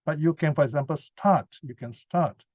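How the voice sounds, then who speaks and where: A man's voice: fairly high pitch, moderate pace, darkish tone, no room sound. A man giving an informal Buddhist teaching talk and answering questions, conversational and often checking in with short tag questions. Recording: dull lo-fi sound, dry.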